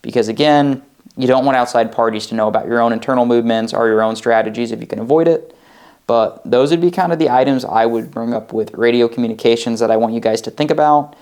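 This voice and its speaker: A man's voice: mid-range voice, fast, a little mellow. An American man giving an informal instructional talk as a presenter, explaining methodically with examples. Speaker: presenter of prepping advice videos.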